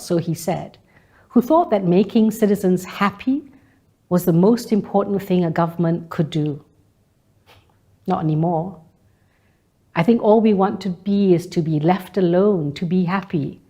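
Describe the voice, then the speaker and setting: Mellow, low-voiced woman. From Malaysia. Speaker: speaker delivering a personal monologue. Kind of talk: scripted spoken-word monologue.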